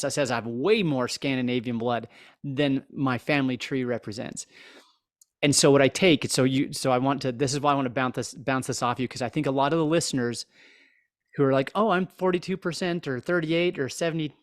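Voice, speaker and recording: An American man hosting a podcast in a casual, folksy, self-deprecating way, thinking out loud as he talks. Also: mid-range voice, no room sound, clean studio sound. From southeast Idaho, United States. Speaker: podcast host. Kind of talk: casual interview chat.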